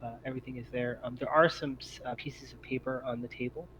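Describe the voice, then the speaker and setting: A man's voice: medium pitch, steady tone, quick pace, fairly bright tone, slight background noise, low-quality recording. A man working as game master, narrating a tabletop roleplaying game in an improvised, conversational and hesitant way.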